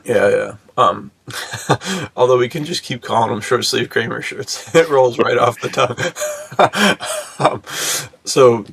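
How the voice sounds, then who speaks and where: A man's voice: medium pitch, takes it slowly, gravelly, fairly bright tone, husky. A man hosting a podcast in casual chat, talking in a laid-back, slangy way with lots of "dude". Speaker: podcast host.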